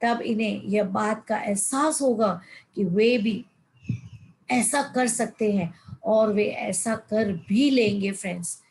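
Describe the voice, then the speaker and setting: A woman's voice: mid-range voice, slow pace, darkish tone, low-quality recording. A woman in her fifties, a life coach, leading a motivational online session. She reads passages aloud and then explains them, often calling her listeners friends and mixing in English words. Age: 50s.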